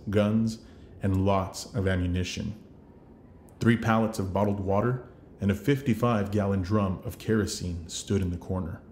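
A man's voice: lowish voice, a little mellow, slight background noise. A man working as a voice actor, reading scripted narration for a demo. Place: home recording setup.

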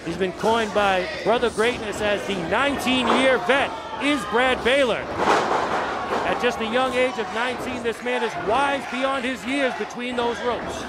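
A man's voice: extremely high pitch, measured pace. A man calling a live wrestling match play-by-play with an emphatic announcer delivery. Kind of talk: live sports commentary. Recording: loud crowd and venue noise behind. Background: wrestling crowd, ring slams.

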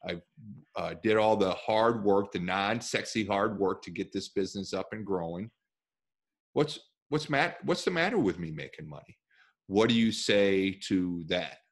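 A man's voice: fairly low pitch, moderate pace. A man hosting a podcast interview on a video call, talking emphatically into a headset mic from a room at home. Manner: animated, emphatic, gestures with hands. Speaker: podcast host. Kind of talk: casual interview chat.

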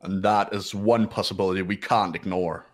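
Casting: man as arrogant teenage heir, low register, clipped and condescending, game dialogue. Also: fairly even intonation, moderate pace, lightly acted. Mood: cold, condescending. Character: haughty, cold, rich teenage boy. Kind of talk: amateur visual novel voice dub.

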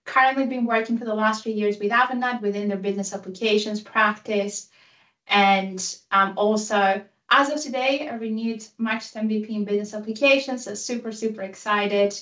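A woman's voice: medium pitch, steady tone, brisk, fairly bright tone. Upbeat Greek-accented woman, roomy and distant. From Greece and Italy. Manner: upbeat, enthusiastic, self-deprecating humor. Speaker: guest speaker, consultant. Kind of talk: presentation on a video call. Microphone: video call. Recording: roomy sound, voice a bit far from mic.

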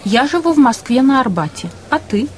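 A woman reading a scripted language course in a measured, carefully enunciated way. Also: sits high, sing-song, a little hiss or hum. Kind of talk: scripted language lesson, slow clear reading.

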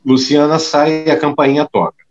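A man's voice: fairly high pitch, little pitch movement, moderate pace, lo-fi sound. A man narrating an audio drama in a scripted style.

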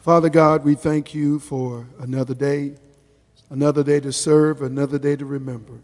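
A man with a fairly high voice prays aloud before a meeting. He delivers it solemnly and with emphasis, one short phrase at a time. The room adds a long reverb around his words. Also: flat delivery, normal speed, darkish tone. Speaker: council member leading opening prayer. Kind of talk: public prayer. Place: indoor council chamber. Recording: reverberant hall.

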